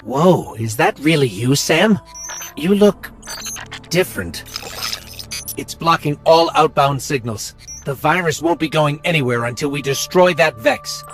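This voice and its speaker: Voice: medium pitch, lively intonation, unhurried, soft background music, close to an everyday voice. An actor playing an observant, composed mission-support ally in a video game, businesslike with some concern. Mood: surprised. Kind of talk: video game character dialogue.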